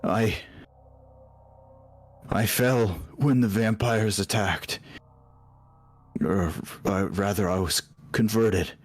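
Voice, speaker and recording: A man playing a guilt-ridden, tormented fallen follower of a light god in a tabletop game, speaking haltingly and pleading. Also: lowish voice, steady tone, faint music behind. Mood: remorseful, halting. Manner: halting, faltering, pleading delivery. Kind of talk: tabletop roleplay acting.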